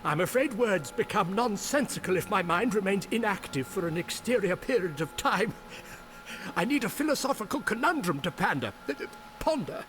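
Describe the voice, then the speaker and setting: Dithering scholar for a game: high voice, wildly swooping delivery, stammering self-corrections. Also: male voice, big pitch swings, normal speed, a bit bright, soft background music. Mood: flustered. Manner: dithering, stammering, muddles words and corrects himself. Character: flustered, scatterbrained scholarly man muddling his words. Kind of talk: video game character acting.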